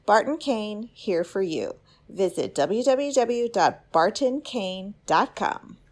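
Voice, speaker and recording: A woman reads a scripted sponsor spot at a medium pitch. She sounds upbeat and lists things one by one. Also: big pitch swings, slow pace, a little mellow. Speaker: ad reader.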